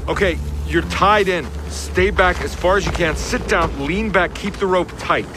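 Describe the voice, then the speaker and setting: A man acting a big, gruff rescuer in a tense radio thriller. His voice sits high and he gives urgent, commanding instructions while staying reassuring. Music plays behind him. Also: moderate pace, natural voice. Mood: steady, instructing. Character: big gruff male rescuer, steady and protective.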